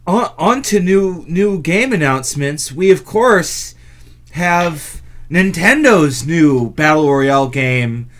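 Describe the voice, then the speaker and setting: Slow-talking man with a higher-pitched voice. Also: lively intonation. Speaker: podcast host. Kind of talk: casual gaming podcast chat.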